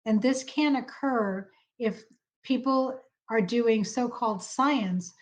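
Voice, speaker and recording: A woman giving an advocacy speech in a measured, serious, formal way. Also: on the high side.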